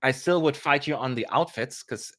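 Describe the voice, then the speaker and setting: A man's voice: mid-range voice, normal speed, fairly bright tone. A young man hosting a live stream, chatting casually with playful asides and jokes. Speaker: livestream host.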